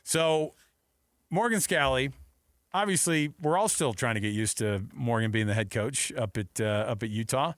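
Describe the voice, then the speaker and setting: A man's voice: medium pitch, moderate pace, no room sound. An American man bantering as a sports radio co-host. His talk is casual, joking and a little rambling. He is close to the microphone in a dry studio. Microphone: close studio mic.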